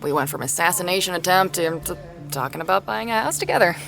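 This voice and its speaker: A woman's voice: fairly low pitch, lively intonation, fast talker, gravelly. A woman playing a blunt, foul-mouthed but caring drinking buddy in an audio drama, with a casual, slangy, wry delivery. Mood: frank, caring. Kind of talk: naturalistic audio drama acting.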